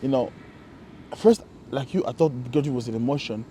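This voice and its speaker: A man co-hosting a casual conversation, reflective and halting, often restarting his sentences. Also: mid-range voice, expressive, mellow, slight background noise. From Congo. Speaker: co-host.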